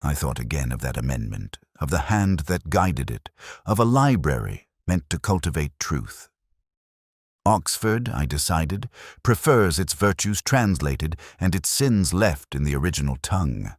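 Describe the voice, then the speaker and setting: Man acting a very deep-voiced brooding detective. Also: sing-song, breathy edge, no echo, clean studio sound, exaggerated voice. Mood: wry, melancholic. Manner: measured, literary, reflective delivery. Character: brooding, erudite middle-aged male detective narrating his case. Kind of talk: scripted first-person mystery narration.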